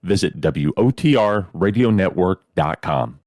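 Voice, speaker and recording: A man's voice: low-pitched, animated, a little slow, darkish tone, no room sound, studio quality. A man reading a scripted promotional announcement as a radio network announcer.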